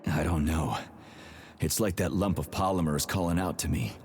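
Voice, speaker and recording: An actor voicing a gruff, foul-mouthed, cynical Soviet special agent in a video game, brusque and irritable, with a husky voice. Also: male voice, extremely low pitch, fairly even intonation, normal speed, darkish tone, heavily acted. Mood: irritated. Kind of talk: video game cutscene acting. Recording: long reverberant hall sound.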